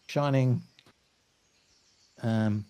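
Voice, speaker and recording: A man's voice: mid-range voice, fairly even intonation, extremely slow, dark tone, clean tone. A man taking part casually in a tabletop game as a player, giving brief, laid-back replies.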